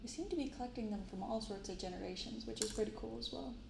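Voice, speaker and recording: A soft-spoken woman hosting an unhurried ASMR-style unboxing, recorded in an untreated apartment room. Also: fairly high pitch. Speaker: host of a card unboxing channel. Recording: roomy untreated apartment, clear background noise.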